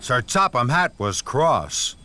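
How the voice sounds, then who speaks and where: Unhurried, expressive male children's storyteller. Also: medium pitch, slight background noise. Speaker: narrator. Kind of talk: scripted children's story narration.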